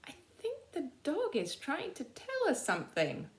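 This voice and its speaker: A woman's voice: high-pitched, huge pitch swings, unhurried, voice pushed up, heavily acted. A woman voicing a young male soldier in the trenches for a children's storybook. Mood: puzzled. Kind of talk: children's storybook read-aloud.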